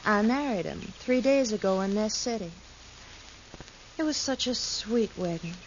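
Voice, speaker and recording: A woman's voice: medium pitch, lively intonation, a little slow, lightly acted. An American woman playing a brazen, greedy frontier wife, coy and sassy with false sweetness, in a 1950s radio western. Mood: smugly sweet. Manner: coy, mock-innocent, sassy. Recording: dull, hissy 1950s radio recording.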